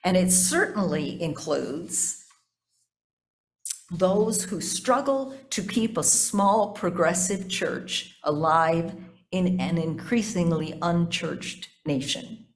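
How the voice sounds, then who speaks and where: A woman with a fairly low voice delivers a sermon as a pastor. She phrases things deliberately and repeats for emphasis, turning at times to speak conversationally to her congregation.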